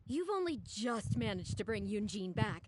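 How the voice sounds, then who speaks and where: A woman's voice: mid-range voice, very animated, moderate pace, background noise, close to an everyday voice. A woman voice acting a flustered, pleading opera troupe woman in a video game, theatrical and exclamatory. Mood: exasperated pleading. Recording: game audio over background music.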